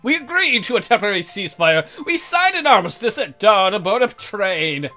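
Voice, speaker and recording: A man with a high, bright voice reads a visual novel aloud as a let's play host. His delivery is exaggerated and comic, breaking into joking asides, with music playing faintly behind him. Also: very animated, moderate pace. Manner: theatrical, jokey, exaggerated.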